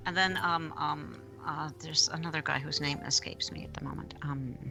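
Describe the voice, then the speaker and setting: Female co-host, low register, halting reactive chat, music behind. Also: creaky. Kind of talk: casual chat.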